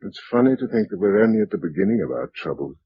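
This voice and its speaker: British radio drama survivor hero, clipped n earnest, very lively intonation, old muffled recording. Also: male voice, fairly low pitch, fast, husky. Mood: quiet, tender. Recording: dull, faintly noisy old radio recording.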